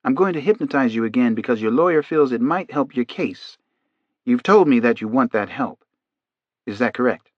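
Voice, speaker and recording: Male narrator as authoritative, soothing male doctor for audiobook, mid pitch, quiet delivery, slightly dull sound. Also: animated, normal speed, dry recording, natural voice. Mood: soothing, steady. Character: calm, authoritative male doctor conducting hypnosis.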